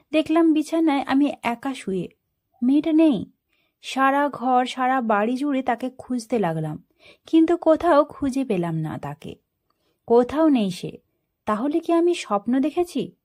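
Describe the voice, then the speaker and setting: A woman storyteller narrating a first-person story in an expressive, dramatic way. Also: high voice.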